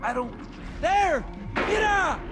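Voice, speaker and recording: An actor playing a frantic young marine in a video game, yelping in panic. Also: male voice, very high-pitched, big pitch swings, slow pace, exaggerated voice. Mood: panicked. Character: panicky young male marine grunt under fire. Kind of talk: video game cutscene acting. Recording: loud game music and gunfire.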